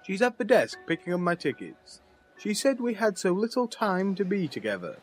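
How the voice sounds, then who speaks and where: Wry, animated leading man played by an actor. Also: high voice, moderate pace, soft background music. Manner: bantering, teasing. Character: wry, brash, affectionate male theatre man and sweetheart. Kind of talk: dramatic radio play acting.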